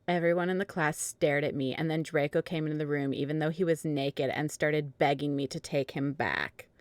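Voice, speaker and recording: A woman with a very low voice narrates fan fiction as a dramatic reading. The sound is dry and close, with no room around her. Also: fairly even intonation, moderate pace, dry recording.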